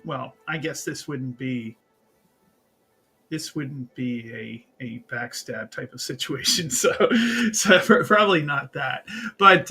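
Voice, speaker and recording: A man with a slightly high, bright and husky voice plays a tabletop roleplaying game. He talks casually and excitably, doubling back on his words, over faint music. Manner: excitable, repeats words as he goes.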